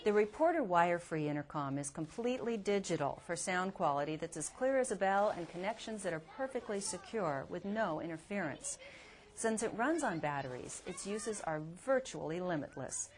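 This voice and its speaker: Lady reporter, lowish voice, reading a scripted promo product story. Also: a little hiss or hum.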